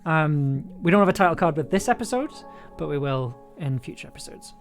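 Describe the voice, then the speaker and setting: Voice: medium pitch, animated, brisk, soft background music. An enthusiastic audio producer chatting casually and effusively on a livestream while editing. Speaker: audio drama producer and streamer.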